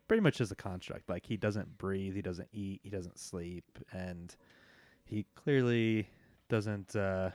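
A man playing a tabletop game, explaining his character casually with halting filler words. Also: fairly low pitch, dry recording. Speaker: player. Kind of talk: casual chat.

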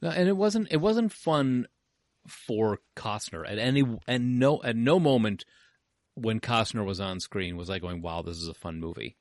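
Playful low-voiced man riffing on movies. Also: animated, normal speed, no echo, studio quality. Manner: playful, explains with comic analogies. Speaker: podcast co-host. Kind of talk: casual movie review chat.

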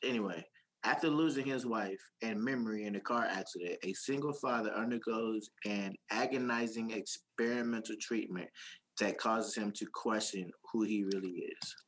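Halting, playful male podcast co-host. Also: on the low side, a bit bright, rough recording. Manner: halting, many ums and restarts, playful. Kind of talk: casual chat.

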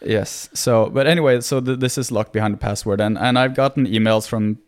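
A man's voice: mid-range voice, brisk. A young man in his twenties with a Norwegian accent, a non-native English speaker, talking earnestly and modestly as a guest in a casual interview. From Norway. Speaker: podcast guest, medical student.

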